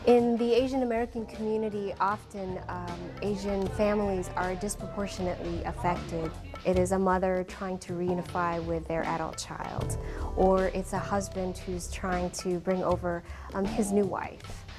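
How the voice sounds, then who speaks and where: A woman advocate speaking earnestly and deliberately in an interview. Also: on the low side, level tone, music in the background. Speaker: immigration advocate.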